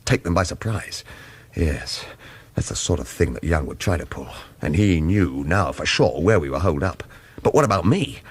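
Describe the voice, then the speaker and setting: A man's voice: sits low, big pitch swings, normal speed, breathy edge, exaggerated voice. A man playing a hard-boiled, commanding gunman hero in a radio thriller, with clipped orders and wry narration. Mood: brooding narration. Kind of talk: radio thriller acting with first-person narration.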